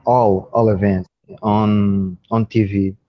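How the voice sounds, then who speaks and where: A young man from Brazil with a Brazilian accent, a native Portuguese speaker practicing English haltingly, searching for words. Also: on the low side, little pitch movement, lo-fi sound. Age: young adult. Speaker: English student.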